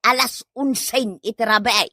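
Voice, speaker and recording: A woman's voice: a little high, moderate pace, sharp tone, no room sound, clean studio sound. A woman playing an elderly, fretful and sentimental wife in an Ilocano comedy radio drama, exclamatory and melodramatic, with an Ilocano accent. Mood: scolding.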